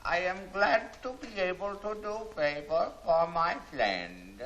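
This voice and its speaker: An actor playing a sly, ingratiating bazaar owner in a 1940s film serial, speaking in deliberate, stilted phrases on a muffled old soundtrack. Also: male voice, high-pitched, expressive, very noisy. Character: sly, ingratiating Chinese bazaar owner, secret underworld informant.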